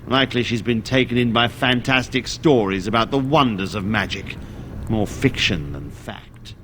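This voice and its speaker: An actor playing a stern, solemn senior mage in a fantasy game, speaking formally and with measured care. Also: male voice, medium pitch, animated, background noise, natural voice. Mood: dismissive. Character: solemn, dismissive senior male mage of a circle.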